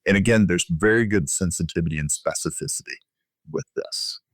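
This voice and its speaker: A man speaking as a physician guest expert, explaining methodically in a measured, instructional way during a medical interview. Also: sits low, no echo, studio quality.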